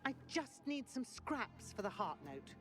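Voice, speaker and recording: A eccentric middle-aged perfumer in a game. Her pitch swings freely as she savours each word with dreamy, theatrical grandeur, music playing clearly behind her. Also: sing-song, unhurried. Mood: enthusiastic. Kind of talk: video game cutscene acting.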